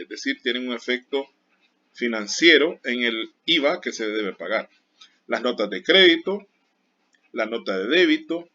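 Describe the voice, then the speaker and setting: A man's voice: medium pitch, pushed and bright. A man teaching an accounting class, explaining with everyday examples and stopping often to warn his students. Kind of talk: classroom lecture. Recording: dull lo-fi sound.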